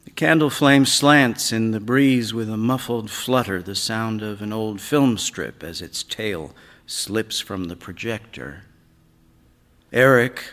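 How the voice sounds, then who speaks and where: A man reading a literary story aloud on stage, in a measured, dramatic way that moves between hush and sudden swells. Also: fairly low pitch. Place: large theatre stage. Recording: reverberant hall, live stage reading.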